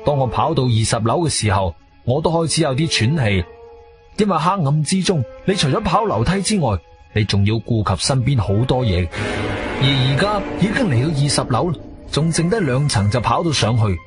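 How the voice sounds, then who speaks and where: Animated Cantonese adventurer played by a man, music behind. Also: medium pitch, fairly quick, natural voice. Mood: suspenseful narrating. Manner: animated, suspenseful storytelling. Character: agile martial-arts-trained adventurer, urgent and intense. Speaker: narrator and lead character of a radio drama. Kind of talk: dramatic first-person radio drama narration in Cantonese. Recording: studio radio drama with music behind.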